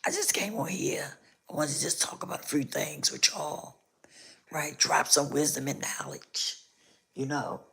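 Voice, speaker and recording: A low-pitched woman whose voice has gone hoarse and rough, half whispering with a gravelly fry through much of it. She hosts a casual live stream, circling back over the same points as she speaks directly to her viewers. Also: expressive, unhurried, a bit bright. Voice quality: rough, scratchy voice. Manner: repetitive, talks straight to viewers.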